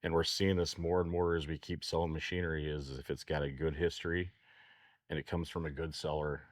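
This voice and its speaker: A man's voice: very deep voice, level tone, a little fast, no echo, studio quality. A man co-hosting a farm talk show, chatting folksily with repeated words. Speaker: co-host, auction company staff. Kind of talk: casual radio talk.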